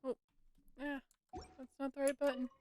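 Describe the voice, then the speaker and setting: A woman's voice: high voice, completely flat delivery, normal speed, a little mellow, slight background noise. A woman streaming a game live, chatting casually and playfully reacting aloud.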